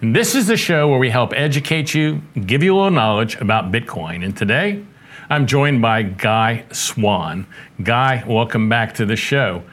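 A man speaks as the host of an interview show. His pitch moves around a great deal, giving him an animated delivery. He is folksy and tends to repeat himself as he puts questions. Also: normal speed.

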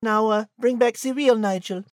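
Elegant French kitty, played by a woman: high, dainty, prim and a little bossy, recorded dry. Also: normal speed, dry recording, close to an everyday voice. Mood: prim, caring. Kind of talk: comic audio drama character acting.

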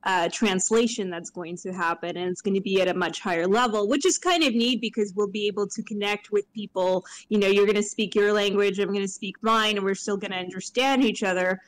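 A woman's voice: medium pitch, a little fast, hardly any pauses, rough recording. A woman in casual discussion, thinking aloud and often repeating words as she goes.

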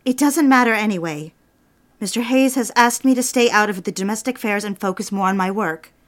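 An actress as an earnest young woman in her twenties in an audio drama, a secretary and dutiful daughter quick to defend herself. Her voice is fairly middling in pitch for a woman and recorded very dry and close. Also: no room sound, lightly acted. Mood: defensive. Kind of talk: audio drama acting with first-person diary narration.

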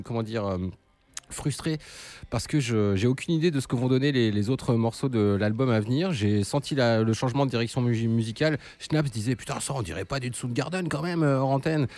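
A man's voice: medium pitch, moderate pace, darkish tone, no room sound, faint music behind. A man hosting a casual local radio show, teasing and joking with his guests. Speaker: radio host. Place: radio studio.

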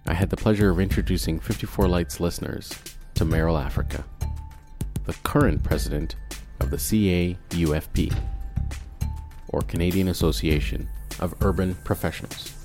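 Deep-voiced male host, inquisitive interviewer, light music underneath. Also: normal speed. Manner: curious, prompting questions. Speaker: podcast host. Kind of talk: casual interview and scripted intro.

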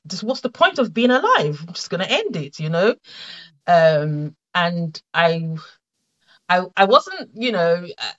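A middle-aged woman with a Nigerian accent, a guest in an interview, telling her story in a reflective, halting way with many fillers and restarts. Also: low voice, fairly bright tone, dull audio. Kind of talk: conversational interview.

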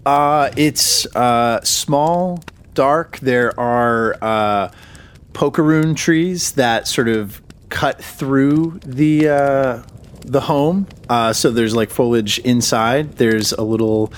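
A man improvising casually as a player in a tabletop game, halting and jokey. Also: mid-range voice, clear, resonant tone. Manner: halting, joking.

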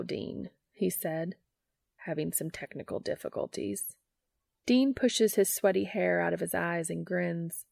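A woman's voice: on the low side, vocal fry, a little mellow, no echo, studio quality. A woman narrating a story from a script, reading it aloud as an audiobook. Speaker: fan fiction audiobook narrator.

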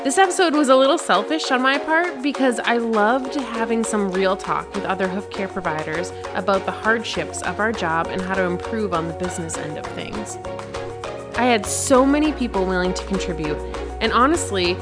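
A woman's voice: medium pitch, animated, normal speed. Woman podcast host over music. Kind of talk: scripted podcast intro and narration.

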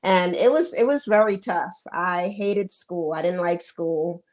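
A woman's voice: on the low side, unhurried. A woman from Trinidad with a Trinidadian accent, earnestly sharing a personal story as a guest.

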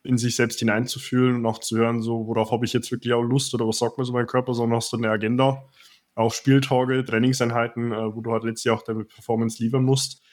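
A man's voice: medium pitch, flat delivery, fast talker. A man hosting a podcast interview, speaking in long, wordy questions with fillers. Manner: wordy, many repeated "auch" and fillers. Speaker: podcast host, personal trainer.

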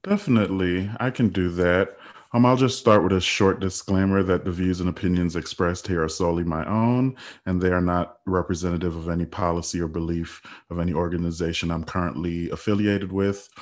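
A man in his thirties from the Virgin Islands, speaking as a guest in a podcast interview in a measured, earnest way with frequent fillers. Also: sits low, steady tone. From United States Virgin Islands. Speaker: guest, health policy analyst.

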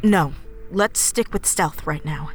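A woman acting in an audio drama as the brisk, careful leader of a covert team. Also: low voice, soft background music. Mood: hushed command.

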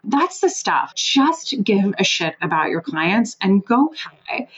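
A woman from Switzerland speaks as a sales guest, driving her points home with emphatic, animated energy and the odd casual curse. Her voice sits at an ordinary middle pitch. The recording sounds somewhat dull and lo-fi. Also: takes it slowly, breathy edge. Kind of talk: energetic advice-giving interview talk.

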